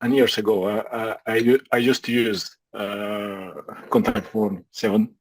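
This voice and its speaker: A man originally from Bulgaria speaks English haltingly on a video call. He pauses and restarts often as he looks for words, and his voice sits dry and close to the mic. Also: lowish voice, flat delivery, husky, no room sound. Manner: hesitant, halting, searching for words. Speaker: meetup attendee. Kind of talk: casual video call chat.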